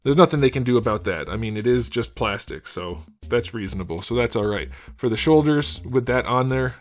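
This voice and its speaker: A man with a hoarse, scratchy voice casually reviewing an action figure, thinking aloud with small fillers. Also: mid-range voice. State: hoarse, losing his voice. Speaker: toy reviewer.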